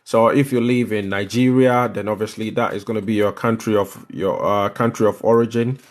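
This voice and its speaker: A man's voice: on the low side, steady tone, fairly quick. Ghanaian man giving a step-by-step tutorial. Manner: instructive, repeats phrases for emphasis. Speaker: livestream host explaining visa applications. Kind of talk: live step-by-step tutorial talk.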